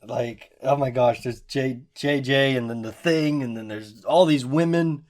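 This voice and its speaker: A man's voice: medium pitch, a little slow, a little mellow. A man chatting casually between takes as an amateur voice performer, flustered and self-mocking.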